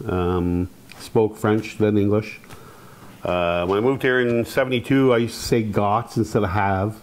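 A man in his fifties from Quebec whose first language is Algonquin, telling his life story on a panel in a relaxed way, with many pauses, into a lapel mic. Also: fairly low pitch. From Quebec, Canada. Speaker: panel speaker. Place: hall with curtained stage, audience present.

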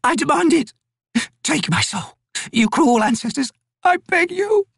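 A woman's voice: sits high, very animated, a little slow, vocal fry, no room sound, studio quality, voice pushed up, exaggerated voice. A ragged old wisewoman. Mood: pleading. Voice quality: cracked, ragged.

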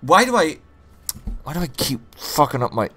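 A man hosts a let's-play in a rambling, joking, sweary way. His voice sits a little high and comes across bright and forceful. The delivery is loose, casual commentary. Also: sing-song, moderate pace, faint music behind. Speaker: host of a gaming channel.

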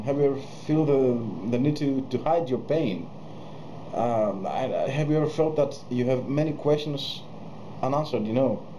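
A man in his thirties, a musician hosting his own channel, talks casually to camera in a small room at night, pausing often with fillers and restarts. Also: medium pitch, mellow, slight background noise, poor audio. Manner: hesitant, many fillers and restarts. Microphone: webcam close to face.

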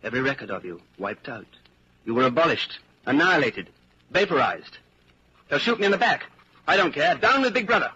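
An actor playing an anxious, secretly rebellious man in his thirties in a 1940s radio drama, brooding and halting in an intense inner monologue. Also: fairly high pitch, big pitch swings, pushed and bright, breathy edge, full character voice. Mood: desperate defiance. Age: 30s. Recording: muffled noisy 1940s radio broadcast.

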